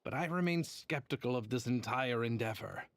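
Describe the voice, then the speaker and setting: Man acting haughty official, game character, medium pitch, stern, skeptical, condescending, measured, dry close recording. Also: lively intonation, no echo, close to an everyday voice.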